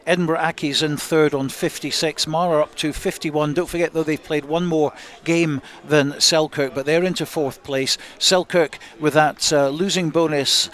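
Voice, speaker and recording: Guy hosting a radio sports show, voice a bit high, going through results live. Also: steady tone, normal speed, hardly any pauses, a bit bright.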